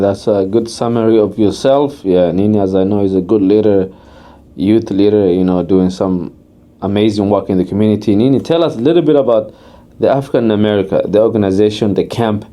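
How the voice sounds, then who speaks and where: A man's voice: lowish voice, normal speed, warm tone. A man hosting a podcast, asking his guest questions in a friendly, loose way with lots of fillers. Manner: friendly, loose, says you know a lot. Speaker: podcast host. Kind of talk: casual podcast interview.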